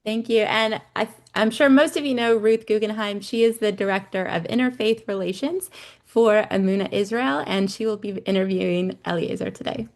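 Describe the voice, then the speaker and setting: A woman's voice: mid-range voice, moderate pace. A woman host opening a webinar with a formal welcome and introduction of the guest over a video call.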